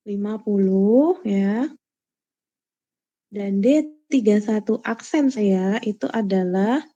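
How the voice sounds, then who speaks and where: A woman's voice: medium pitch, dark tone. A woman lecturer talking students through a spreadsheet step by step, often checking that they follow. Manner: explanatory, checks understanding with quick questions. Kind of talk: screen-recorded spreadsheet lecture. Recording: dull lo-fi recording.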